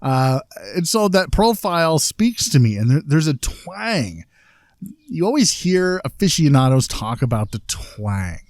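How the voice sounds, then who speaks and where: A man's voice: fairly high pitch, big pitch swings, a little slow, a little mellow, no room sound, studio quality. A man co-hosting a casual podcast outdoors, opinionated and fond of complaining, but enthusiastic about what he likes. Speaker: podcast co-host. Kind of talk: casual chat. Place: outdoors, light breeze.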